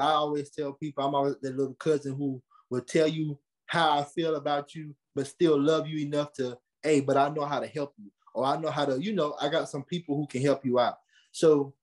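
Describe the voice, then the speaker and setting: A young American man giving conversational interview answers, earnest and animated, repeating phrases for emphasis. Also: fairly high pitch. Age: young adult. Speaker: podcast host and creative being interviewed.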